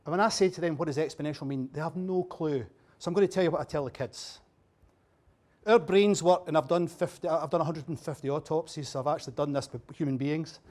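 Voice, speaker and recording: A man's voice: a little high, darkish tone. A Scottish man giving a humorous after-dinner talk, chatty and jokey, quizzing the audience. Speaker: keynote speaker. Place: dinner venue with a bar.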